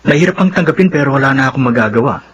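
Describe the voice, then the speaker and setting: Radio soap young son: Filipino, mid-pitched slightly raspy voice, sensible and serious. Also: male voice, lively intonation, racing, muffled recording. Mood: resigned, serious. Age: young adult. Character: sober, resigned young son and boyfriend.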